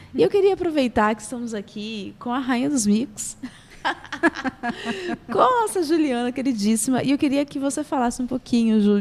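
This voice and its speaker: Chatty, laughing Brazilian woman host. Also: on the high side. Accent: Brazilian Portuguese. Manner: laughs often, animated and chatty. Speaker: podcast host. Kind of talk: casual chat.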